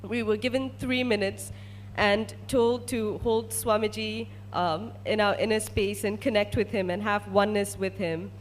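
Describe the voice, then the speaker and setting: A woman's voice: mid-range voice, steady tone, unhurried, slight background noise. A woman from Malaysia, a research scientist, telling a personal story to an audience as a testimonial.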